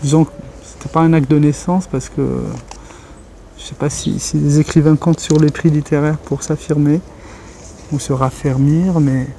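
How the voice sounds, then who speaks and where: Thoughtful man, mellow voice. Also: on the high side, flat delivery, moderate pace, slight background noise. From Algeria. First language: Kabyle. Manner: thoughtful, searching for words. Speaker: interviewee, writer and journalist. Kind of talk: reflective interview talk.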